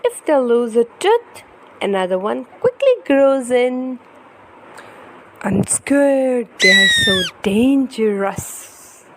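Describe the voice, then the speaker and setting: Woman, high voice, unhurried children's read-aloud, teacherly delivery, faint background noise. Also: very animated. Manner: teacherly, addresses children, asks questions, exclaims. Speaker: host reading to young children.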